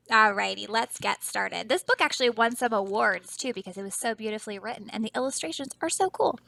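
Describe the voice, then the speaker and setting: A woman teacher with a high, bright voice reads to children in a dry, close sound. She is animated and dramatic, pausing to explain things and put questions to her listeners. Her delivery is unhurried throughout. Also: vocal fry, no echo. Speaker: teacher reading a picture book aloud. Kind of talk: expressive children's read-aloud with explanatory asides.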